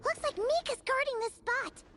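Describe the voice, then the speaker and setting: A woman voicing a tiny, childlike fairy companion in a video game, with a squeaky, eager, chirpy delivery. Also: normal speed, a bit bright, soft background music. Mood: excited. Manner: exclamatory, chirpy. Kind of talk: video game character acting.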